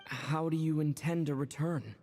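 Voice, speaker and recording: An actor voicing a calm, kindly young traveler in a video game, relaxed and easygoing in delivery. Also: mid-range voice, moderate pace, long reverb tail, a little hiss or hum, close to an everyday voice. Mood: curious, amused.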